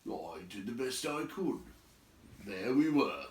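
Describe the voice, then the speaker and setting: A man's voice: medium pitch, sing-song, slow, a little hiss or hum. Man acting out a crafty, mild-mannered wizard. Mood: modest, storytelling. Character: tactful, crafty male wizard storyteller. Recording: roomy echo, distant from mic.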